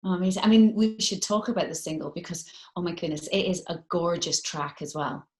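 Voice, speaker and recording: A woman's voice: fairly low pitch, a little fast. A British woman hosting a casual remote interview in a chatty, gushing manner. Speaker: radio interviewer. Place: remote video call in London.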